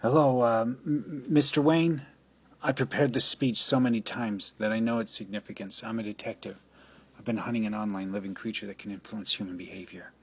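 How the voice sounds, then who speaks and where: A man's voice: on the low side, steady tone, a little fast, fairly bright tone, slightly rough, muffled recording. A man playing a nervous, obsessed amateur detective who pleads and stammers in a radio drama. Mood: nervous, earnest. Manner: stammering, urgent.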